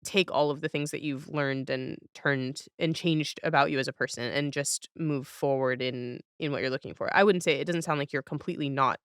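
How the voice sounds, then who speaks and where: A woman with a clear, smooth voice in a dry, close-sounding space. She hosts a casual interview in a reflective, encouraging manner, feeling her way through her phrasing. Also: deep voice, steady tone, a little fast, hardly any pauses, no echo. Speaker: podcast host.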